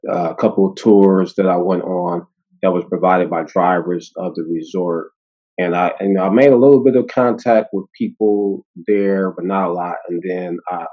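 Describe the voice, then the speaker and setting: Low-voiced American man in his fifties. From United States. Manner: animated, laughing, acting out quoted voices, many fillers and restarts. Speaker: interview guest. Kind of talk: casual storytelling conversation. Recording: dull lo-fi audio.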